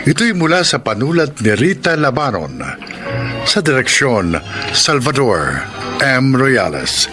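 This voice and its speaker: A man narrating in a solemn, measured, theatrical radio drama style. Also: mid-range voice, very animated. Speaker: radio drama announcer and host. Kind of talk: dramatic scripted radio narration, reading credits. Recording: prominent music bed under voice. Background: loud music.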